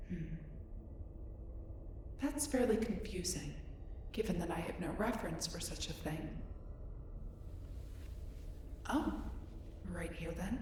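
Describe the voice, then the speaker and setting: A woman's voice: fairly low pitch, far from the mic. Woman acting as a polite alien woman, inquisitive, formal and reserved, audio drama, very echoey, noisy background ambience. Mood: earnest, inquisitive. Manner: formal, precise, inquisitive, emotionally reserved phrasing. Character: curious, polite, emotionally flat young adult female alien. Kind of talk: scripted roleplay audio drama addressed to listener.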